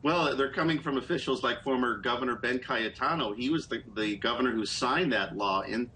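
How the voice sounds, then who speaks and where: Bright-voiced man reporting over a video call. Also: mid-range voice, slight background noise, rough recording. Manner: measured, explanatory. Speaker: political reporter. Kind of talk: live news interview over video call. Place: small room, indoors. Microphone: video call webcam.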